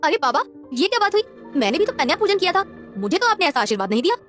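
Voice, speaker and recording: A woman's voice: very high-pitched, big pitch swings, very fast, fairly bright tone, soft background music, voice pushed up, heavily acted. A woman voicing a boastful, scornful rich young woman in a cartoon moral story, with a haughty, mocking manner. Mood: indignant.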